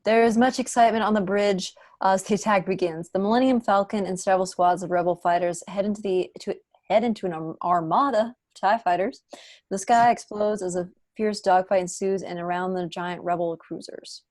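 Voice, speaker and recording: A woman's voice: mid-range voice, fairly even intonation, fairly quick, a little mellow, dry recording, clean studio sound. A young woman reading screenplay stage directions as scripted narration on a video call from home. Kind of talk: scripted narration at a table read.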